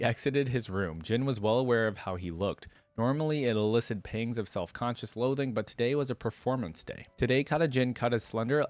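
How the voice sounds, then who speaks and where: Low-voiced man hosting a reaction video. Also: no echo. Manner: informal, pausing reading to comment. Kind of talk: casual commentary and reading a story aloud.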